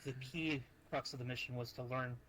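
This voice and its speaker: A man improvising in character in a roleplay, with formal, deliberate phrasing. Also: medium pitch, steady tone, fairly bright tone. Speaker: roleplay player. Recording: very noisy voice chat.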